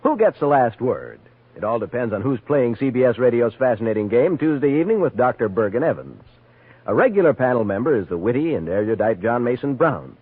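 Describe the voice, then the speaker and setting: A man with a husky voice reading scripted network promos as a 1950s radio announcer. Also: medium pitch, expressive, brisk, dry recording. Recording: dry, dull vintage radio recording.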